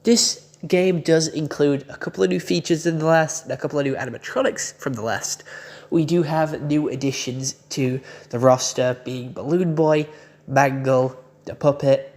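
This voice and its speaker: Young guy, croaky voice, loose chatty delivery with fillers, casual YouTube game reviewer. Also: fairly high pitch, steady tone, moderate pace, a little mellow.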